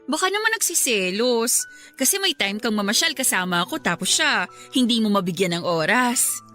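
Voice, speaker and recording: A woman's voice: fairly high pitch, expressive, moderate pace, bright, forceful tone. A woman playing a frank, teasing younger sister in a Filipino radio drama, blunt and cheeky, with a Filipino accent. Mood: teasing, reproachful. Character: frank, teasing teenage younger sister, wiser than her age. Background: music.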